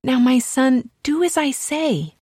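A woman's voice: high-pitched, lively intonation, slow pace, a little mellow, husky, no room sound, studio quality, heavily acted. A woman voicing an anxious mother of two sons in a dramatized scripture reading, speaking urgently and insistently.

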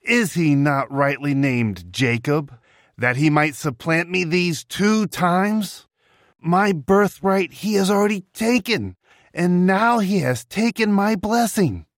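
A man's voice: lowish voice, lively intonation, takes it slowly, fairly bright tone, dry recording, clean studio sound. A man voicing an anguished grown son in a dramatized scripture reading, crying out in pleading, rising tones. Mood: bitter.

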